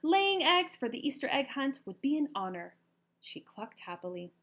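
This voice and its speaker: A woman narrates a children's storybook in a high voice that swings widely in pitch. She leans into key words with an expressive, emphatic storyteller's delivery. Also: normal speed. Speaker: storybook reader. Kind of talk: animated children's read-aloud narration.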